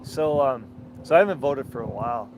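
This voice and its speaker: A man from Minnesota with an Upper Midwest American accent, talking casually and haltingly from his sailboat, full of restarts and blunt asides. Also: mid-range voice, expressive, noisy background. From Minnesota, USA. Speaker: sailing vlogger. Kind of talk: rambling casual vlog chat. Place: outdoors on a sailboat.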